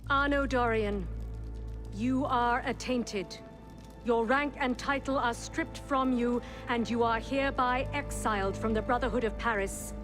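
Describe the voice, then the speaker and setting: Stern council leader, a woman acting, highish voice. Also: music in the background, lightly acted. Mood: stern, solemn. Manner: formal, measured, solemn. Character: stern, authoritative female leader of assassin council. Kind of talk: dramatic video game cutscene acting.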